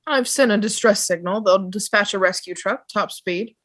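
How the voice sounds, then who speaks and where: Mid-pitched woman as a no-nonsense pilot. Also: normal speed, a bit bright, close to an everyday voice. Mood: matter-of-fact. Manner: matter-of-fact, dismissive. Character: brisk, no-nonsense vehicle pilot keeping order. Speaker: table read performer. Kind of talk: amateur drama table read. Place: online video call table read.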